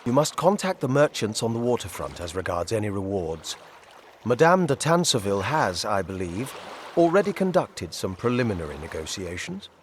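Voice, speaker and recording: A man's voice: fairly low pitch, sing-song, normal speed, a little hiss or hum. A man voicing a pompous, officious small-town official in a video game, formal and wordy. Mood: self-important.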